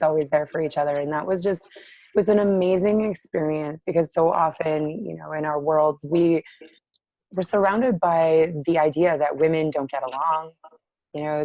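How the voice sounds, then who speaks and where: Woman, froggy hoarse voice, very low pitch, dry close sound, heartfelt. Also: mellow, no room sound, rough recording. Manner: heartfelt, effusive. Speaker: podcast co-host. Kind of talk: casual chat.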